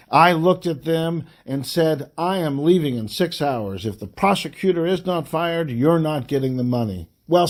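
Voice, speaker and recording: A man reading a formal political letter aloud in an angry, indignant, emphatic voice, breaking phrases into short, deliberate pieces. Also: on the high side, a little mellow.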